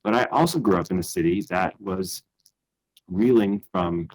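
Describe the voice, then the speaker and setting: Very low-voiced man giving a conference talk. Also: poor audio. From Chicago. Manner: measured and thoughtful, with frequent "uh" and restarts. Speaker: conference speaker.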